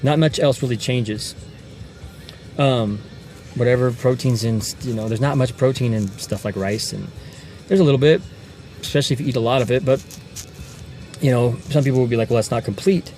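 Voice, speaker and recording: A man's voice: medium pitch, moderate pace, pauses often, dark tone, faint music behind. A man in his forties talking casually to a phone camera from inside a car at night, rambling and restarting his sentences often. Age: 40s. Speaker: fitness vlogger.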